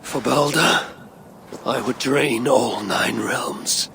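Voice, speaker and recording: Vengeful Norse god and father: slow, grave, creaky voice, intense game drama, faint noise behind. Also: mid-range voice. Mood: grimly resolved. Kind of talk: dramatic video game cutscene acting.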